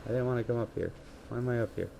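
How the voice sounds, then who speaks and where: A man's voice: medium pitch, very fast, gravelly, mellow. A man with a scratchy voice giving rambling play-by-play commentary while gaming, talking into a headset. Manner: rambling, self-correcting play-by-play. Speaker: gaming video creator.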